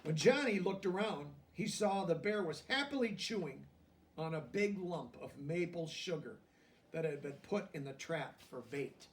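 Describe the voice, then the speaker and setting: A man reading a children's picture book aloud, deliberately, with simple explanatory asides in plain words. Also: a little high, animated, far from the mic. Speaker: storybook reader.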